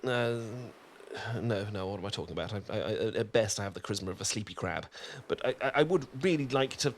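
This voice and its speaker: A man's voice: on the low side, animated, fast talker, a little hiss or hum. A man with a British accent playing an awkward, sweet, sunburned young man in an ASMR roleplay, stammering and self-deprecating. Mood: flustered. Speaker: ASMR performer.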